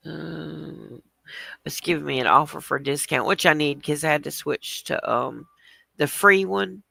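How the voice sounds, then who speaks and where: Stuffy woman with a gravelly voice. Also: low voice, no echo. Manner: chatty, rambling, greets viewers, soft laughs. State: stuffy, congested. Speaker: livestream host. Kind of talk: casual livestream chat.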